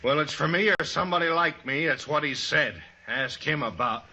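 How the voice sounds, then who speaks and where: Sharp, bitter cavalry soldier. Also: male voice, on the high side, normal speed, lo-fi sound, heavily acted. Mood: grim, sardonic. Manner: curt, sardonic, clipped. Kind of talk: old-time radio western acting. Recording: faintly noisy vintage radio recording.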